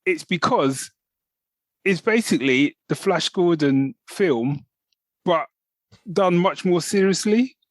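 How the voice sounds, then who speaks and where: A man's voice: high-pitched, lively intonation, slow pace, long pauses, no room sound, low-quality recording. A man co-hosting a podcast, chatting casually and rambling with restarts. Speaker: podcast co-host. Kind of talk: casual chat.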